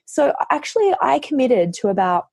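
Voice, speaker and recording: A woman author, hoarse from losing her voice, chatting casually on a podcast and telling lively stories, often quoting herself. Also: high voice, normal speed, no room sound. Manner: animated storytelling, quotes herself.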